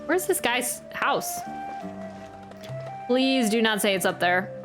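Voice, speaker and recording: A woman with a fairly high voice plays and reacts casually as a streamer. She chats along informally, with video game music faintly underneath. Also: lively intonation, takes it slowly.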